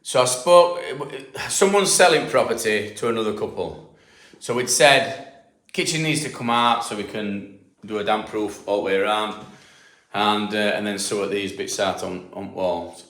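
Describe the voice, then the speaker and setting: A man's voice: lowish voice, measured pace, fairly bright tone. A middle-aged man with a Yorkshire accent giving a casual on-site walkthrough of a damp job, rambling and explaining with lots of fillers and restarts. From Yorkshire, England. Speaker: damp proofing specialist. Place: house being stripped for damp work, indoors. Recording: echoey empty room.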